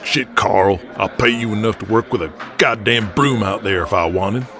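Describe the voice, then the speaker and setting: A man's voice: on the low side, moderate pace, music in the background, voice pushed down, close to an everyday voice. A man voicing a crude, fretful wrestling booker in an audio drama, blunt, foul-mouthed and scheming. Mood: exasperated.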